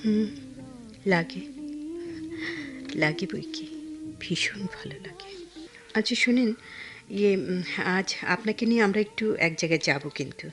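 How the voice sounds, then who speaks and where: A woman acting a tender, pensive young woman in a radio drama, soft, earnest and a little hesitant. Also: mid-range voice, huge pitch swings, measured pace, pauses often. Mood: shy, curious.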